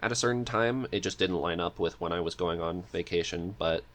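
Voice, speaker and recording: A man's voice: deep voice, brisk, a bit bright. A man talking casually as a podcast guest, chatty and eager in manner.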